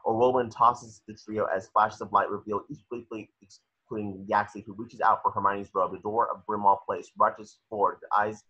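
A man narrates a screenplay at an online table read. His voice is fairly low yet bright and forceful, with a husky roughness. The call makes it slightly lo-fi. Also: flat delivery, moderate pace. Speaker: narrator reading stage directions. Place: home, video call. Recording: dull, lo-fi video call.

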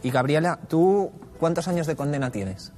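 Man with a high voice asking questions. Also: lively intonation, moderate pace, darkish tone, in a large hall, faint music behind. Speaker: choir director interviewing inmates. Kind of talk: casting interview.

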